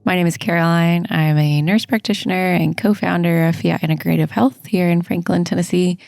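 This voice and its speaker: A woman nurse practitioner hosting a casual health podcast, a little hoarse as she loses her voice, chatty and laughing often. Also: lowish voice, steady tone, a little fast, a little mellow, dry recording. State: losing her voice.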